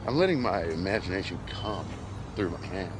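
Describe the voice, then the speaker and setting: A man talking casually to his audience in a rambling, self-deprecating spoken journal, halting and crudely sweary. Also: low voice, lively intonation, background noise. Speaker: vlogger.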